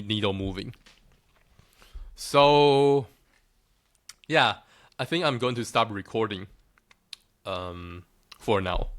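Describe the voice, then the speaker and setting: A man's voice: on the low side, a bit bright, dry recording, studio quality. A man from Taiwan with a Taiwanese accent, talking casually and self-assuredly to his followers, joking and often restarting his sentences. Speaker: looksmaxxing content creator.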